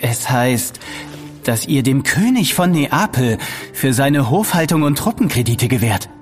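An actor playing an ambitious, deferential young apprentice bookkeeper in an audio drama, earnest and polite. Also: male voice, medium pitch, sing-song, measured pace, faint music behind. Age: young adult. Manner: earnest, polite, formal diction. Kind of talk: German audio drama acting.

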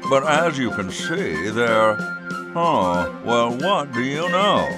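An actor playing a pompous, boastful colonial gentleman in a cartoon game. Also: medium pitch, very animated, slow. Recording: music behind the voice.

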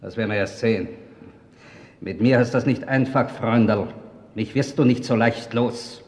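An actor playing a pushy, sarcastic police detective in a crime radio play, with a Viennese accent and a hectoring manner. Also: male voice, medium pitch, moderate pace, natural voice. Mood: threatening. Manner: hectoring, sardonic. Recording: echoey reverberant cold-room scene.

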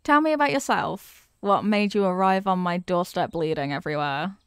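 A woman playing a young, eager and kindly apprentice witch in an ASMR roleplay, chatty, teasing and expressive as she talks straight to the listener. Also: lowish voice, moderate pace, clear, resonant tone, no room sound, studio quality, pitched below the performer's own voice. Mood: teasing, curious. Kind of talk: ASMR character roleplay, scripted.

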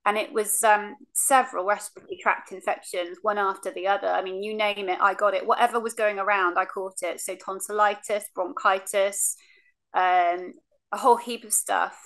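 A woman's voice: mid-range voice, steady tone, normal speed, a bit bright, low-quality recording. A woman, frankly telling her cancer story on a video call from her living room, with many ums. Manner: frank, many ums, acts out remembered lines. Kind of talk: casual recounting, remote interview. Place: living room, seated on a sofa. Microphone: video call webcam.

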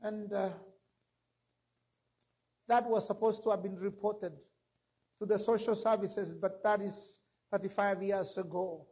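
Man preaching, very high voice, husky tone, slow deliberate delivery, church stage, handheld mic. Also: steady tone, dark tone. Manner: slow, emphatic preaching with rising exclamations. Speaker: pastor. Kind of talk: sermon.

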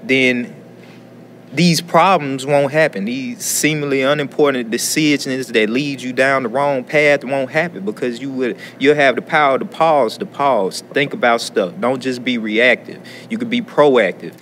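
A man speaks reflectively in a medium-pitched voice, philosophizing earnestly and circling back over phrases. There is slight noise behind him. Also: measured pace, a bit bright. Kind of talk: reflective interview talk.

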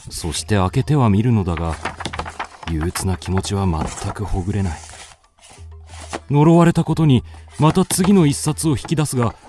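A man's voice: fairly low pitch, expressive, moderate pace, over music, lightly acted. An actor playing a moody, melancholic young man with restless fancies, narrating a literary radio drama in the first person. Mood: gloomy, weary, then strained, frustrated.

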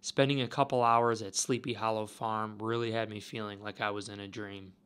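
A man narrating a travel vlog in a calm, wistful, musing way. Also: fairly low pitch, a little fast, music in the background. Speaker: travel vlogger. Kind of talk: reflective voice-over narration.

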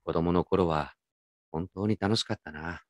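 A man playing a gentle, nostalgic grown man who narrates his memories in a reflective, unhurried radio drama style. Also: low-pitched, no echo, clean studio sound. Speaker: narrator and lead actor. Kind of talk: first-person radio drama narration with character dialogue.

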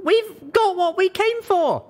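A man voicing a plucky young female pony leader in an audiobook, calling out in a high, urgent voice. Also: expressive, slow pace, exaggerated voice. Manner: high-pitched, urgent shouting. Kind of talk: dramatized audiobook character voice.

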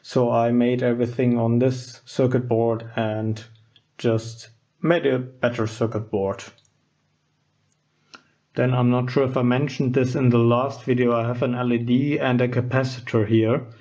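A man with a soft, dark-toned voice walks through a hands-on demonstration. He speaks slowly and informally, with very little rise and fall, often halting as he thinks aloud. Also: mid-range voice. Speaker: host of a DIY electronics channel. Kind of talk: casual unscripted demonstration. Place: indoors at a wooden workbench.

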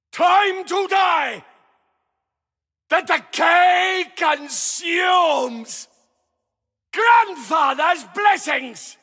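A man's voice: extremely high pitch, pushed and bright, exaggerated voice. An actor voicing a fanatical, rot-worshipping plague-cult gunman in a video game, bellowing menacing, zealous battle barks. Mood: zealous defiance. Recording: long echoing reverb.

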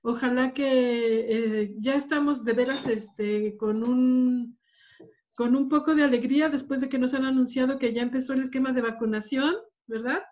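A woman with a high voice hosts a video call seminar and speaks to the whole group in a lively, cheerful way. The sound is dull and lo-fi, as it often is on a call. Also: moderate pace. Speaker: seminar host.

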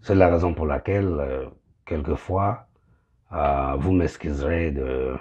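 Pastor, middle-aged man, very low and slow, muffled phone sound; gropes for words, French speaker. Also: darkish tone. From Congo. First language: Kinyamulenge. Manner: halting, searching for words. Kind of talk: direct-to-camera address. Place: small indoor room.

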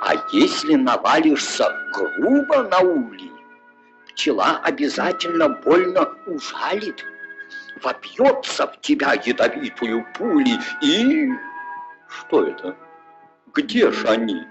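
A pompous bear schoolteacher from an old cartoon. He declaims rhymed lessons very slowly, with hugely swooping intonation. The dull vintage film sound carries prominent music. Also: a little high, wildly swooping pitch, full character voice. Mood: puzzled. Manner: theatrical, declamatory, deliberate. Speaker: teacher. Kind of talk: rhymed 1940s cartoon acting.

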